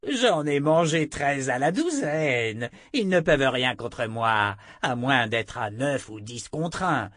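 A man's voice: medium pitch, expressive, takes it slowly, hardly any pauses, a bit bright, no echo, studio quality, voice pushed up. A man voicing a huge, fierce female dragon in an audiobook, haughty and menacing. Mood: scornful. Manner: haughty, spitting, growling threats. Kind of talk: audiobook character voice.

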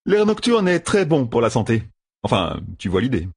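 Fantasy audiobook rogue, played by a man: young, cocky, mocking, animated delivery, pitched well up. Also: moderate pace, slightly rough, dry recording, studio quality, full character voice. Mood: breezy. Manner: glib, exclamatory, mocking banter. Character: cocky, grinning young rogue gambler, teasing and brash. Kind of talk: audiobook fantasy character dialogue.

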